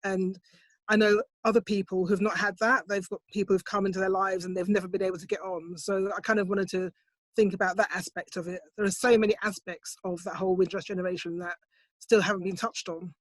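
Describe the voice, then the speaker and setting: A middle-aged woman poet answering interview questions thoughtfully, in a measured, unhurried way on a video call. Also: mid-range voice, level tone, dry recording. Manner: thoughtful, measured, with soft hesitations. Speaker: poet, competition winner. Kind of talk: online interview, reflective answers. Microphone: computer mic on a video call, set quiet.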